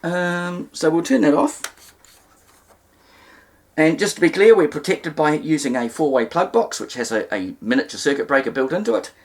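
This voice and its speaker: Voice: medium pitch, expressive, moderate pace. A speaker with a New Zealand accent explains a hands-on demonstration, hesitantly thinking aloud and carefully spelling things out. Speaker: presenter of a vintage radio repair demonstration. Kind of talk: unscripted hands-on demonstration talk. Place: indoors, at a table in a room.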